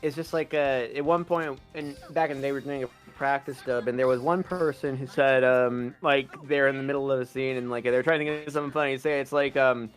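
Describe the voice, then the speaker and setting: A mid-pitched voice in casual livestream talk, emphatic and blunt. Music plays behind the conversation. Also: fairly even intonation, brisk, few pauses. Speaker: fandub voice performer on a livestream. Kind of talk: casual chat. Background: background music.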